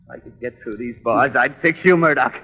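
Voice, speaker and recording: An actor playing a bitter, desperate young gangster on death row in old radio melodrama, with rough tough-guy diction and volatile delivery. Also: mid-range voice, fairly quick. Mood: bitter, accusing. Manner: volatile, swinging from muttering to yelling. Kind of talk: 1930s radio melodrama acting. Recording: old radio recording, echoey cell-block hall.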